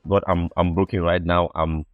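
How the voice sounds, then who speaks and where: A man's voice: low voice, level tone, moderate pace, no echo, phone-quality sound, faint music behind. A young man from Ghana, a podcast guest telling his life story in an earnest, reflective way and often repeating words while he finds his phrasing. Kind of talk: casual interview storytelling.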